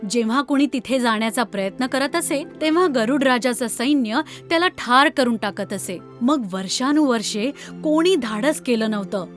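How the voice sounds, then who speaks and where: A woman reading scripted narration for an animated children's fairy tale. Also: high voice. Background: background music.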